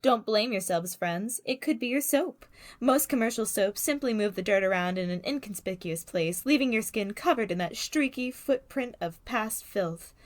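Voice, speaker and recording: An actress playing a poised old-time radio pitchwoman, giving reassuring sales patter in a parody soap commercial. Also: animated, normal speed, lightly acted. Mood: reassuring, salesy.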